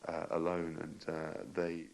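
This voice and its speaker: Deep, gravelly man, halting. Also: completely flat delivery, a little mellow. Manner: halting, earnest. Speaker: local pastor and radio station organiser. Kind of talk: interview answers.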